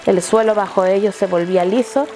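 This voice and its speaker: Woman, story narrator, scripted dramatic reading, measured literary delivery, mid pitch, faint music bed. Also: steady tone, warm tone.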